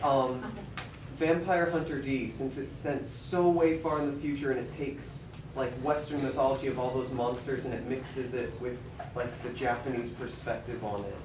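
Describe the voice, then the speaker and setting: A distant, echoey recording of a man in the audience at a panel. He asks his question casually and politely, hesitating often, with clear noise around him. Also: mid-range voice, fairly even intonation, normal speed. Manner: polite, hesitant, filler-heavy. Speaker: audience member asking a question. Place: large conference room. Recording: noisy, echoey hall from far off.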